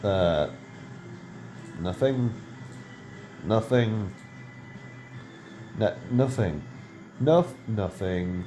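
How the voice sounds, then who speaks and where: A man's voice: lowish voice, expressive, very slow, long pauses, dark tone, a little hiss or hum, rough recording. A middle-aged man giving casual, rambling gameplay commentary, full of ums and self-corrections. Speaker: game video creator.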